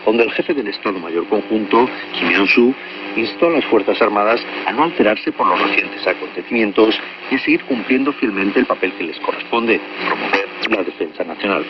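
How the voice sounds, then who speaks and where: Low-voiced male newsreader on noisy shortwave radio. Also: lively intonation, distant mic, dull audio. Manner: formal, measured. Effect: shortwave radio broadcast. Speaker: radio news announcer. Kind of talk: scripted news reading.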